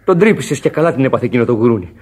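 Gruff, comforting sailor friend. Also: male voice, medium pitch, sing-song, darkish tone. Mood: soothing, defiant. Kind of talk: Greek radio drama acting. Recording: radio play with music underneath. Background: music.

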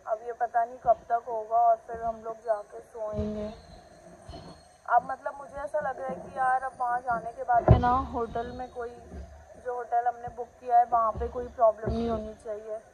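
A woman's voice: on the high side, poor audio. Young woman, travel vlogger, tired chatty talk, phone held at arm's length, raised voice, faint hum behind. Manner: chatty, tired, mixes in English words. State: exhausted and sleepy. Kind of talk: casual travel vlog narration. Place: roadside by a car, outdoors. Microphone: phone selfie at arm's length.

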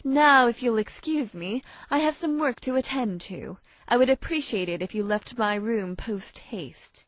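Woman as cool haughty unicorn, highish voice. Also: animated, unhurried, no room sound. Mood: icy. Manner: formal, precise, curt. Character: cool, haughty, intimidating female unicorn manager. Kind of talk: cartoon fandub acting.

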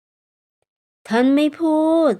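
A woman's voice: drawn-out words, warm tone. A woman voicing a timid zebra in a bedtime story, pleading and ingratiating as it tries to appease a predator. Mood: hopeful, fawning.

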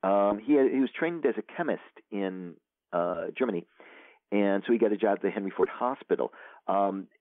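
A man's voice: on the low side, moderate pace, no room sound. A man, an author being interviewed, talking casually and rambling with many fillers and restarts. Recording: tinny phone line.

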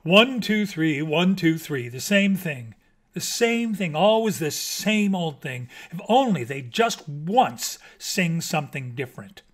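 A vain, irritable storybook mockingbird, performed by a man. The delivery is pompous and dramatic, full of indignant emphasis. The voice sits a little high for a male. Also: animated, measured pace, a bit bright. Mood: exasperated.

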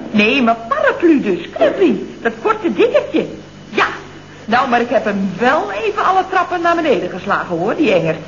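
Feisty, talkative secretary for a radio comedy; high-pitched, on a muffled, noisy old recording. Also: female voice. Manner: gushing, chatty, full of colloquial tag questions. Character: feisty, chatty, indignant single female office secretary. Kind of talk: 1970s Dutch comedy radio play acting.

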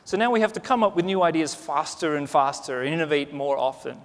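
A man's voice: on the high side, expressive, a little fast. A man in his forties giving a conversational conference keynote on a large stage, with lots of restarts and "you know.". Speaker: keynote speaker. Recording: reverberant conference hall.

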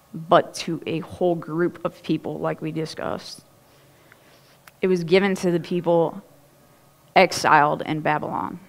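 A woman pastor in her thirties, preaching a sermon in a casual, storytelling way on a church stage. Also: fairly low pitch. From Ohio. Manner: chatty, animated storytelling with laughs and asides.

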